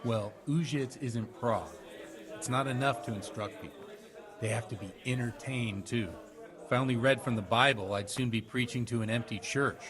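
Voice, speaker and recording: An actor playing a worldly, avuncular middle-aged village priest with a measured manner in a medieval video game. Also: male voice, mid-range voice, a crowd in the background, natural voice. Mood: genial, lively. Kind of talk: video game cutscene dialogue.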